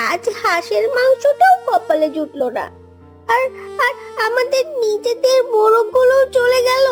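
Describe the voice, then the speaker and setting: Little cartoon girl, tiny and high, plaintive, soft music behind. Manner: plaintive, whiny. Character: little village girl, sad and longing. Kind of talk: cartoon voice acting.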